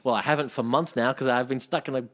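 A man's voice: medium pitch, steady tone, fast talker. A young Australian man co-hosting a podcast, hungover and wry, teasing his co-host in a dry, offhand way. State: hungover, voice lower than usual. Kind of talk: casual chat.

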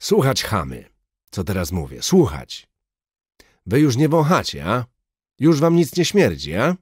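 A man voicing a brutal Soviet secret police major who shouts threats in Russian-accented, broken Polish. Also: mid-range voice, sing-song, slow, no room sound, studio quality, pitched above the performer's own voice. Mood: shouting contempt. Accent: Russian-inflected broken Polish. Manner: shouting, mocking, menacing. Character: brutal male Soviet NKVD major, sneering.

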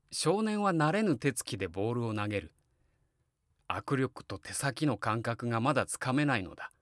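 Man acting wistful middle-aged father, very expressive. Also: on the high side, dry recording, studio quality. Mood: reflective. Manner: reflective storytelling alternating with hearty, coaxing dialogue. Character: middle-aged family man, wistful and kindly, narrating his story. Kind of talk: Japanese voice drama, narration and dialogue.

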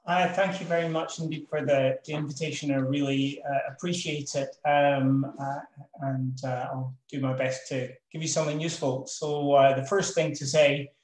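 A man's voice: mid-range voice, level tone, normal speed. An older man with a Scottish accent speaking as a professor on an online panel, casual and self-deprecating. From Scotland. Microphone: video call. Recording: echoey room on video call.

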